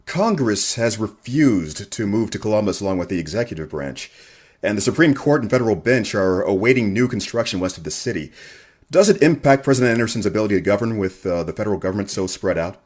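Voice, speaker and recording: A man acting as a local radio reporter in a radio play. His voice sits fairly low, and he comes across as courteous and earnest, putting his questions in a measured manner. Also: lively intonation, a little mellow, breathy edge. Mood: polite, probing. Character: polite, earnest male local radio interviewer. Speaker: actor in an audio drama. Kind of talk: radio theater acting, news interview questions.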